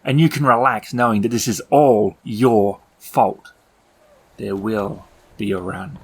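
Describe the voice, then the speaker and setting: A man's voice: fairly low pitch, vocal fry, breathy edge, exaggerated voice. A man voicing a menacing, mocking elf clown villain in a theatrical, taunting way for a tabletop game. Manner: theatrical, slow taunting delivery with sudden outbursts.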